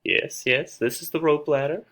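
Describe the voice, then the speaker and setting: A man's voice: on the high side, moderate pace, creaky, fairly bright tone, pitched above the performer's own voice. A man voicing a distraught older female nurse who wails and laments in a dramatic reading of a tragedy. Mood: wailing. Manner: wailing, repetitive lamenting.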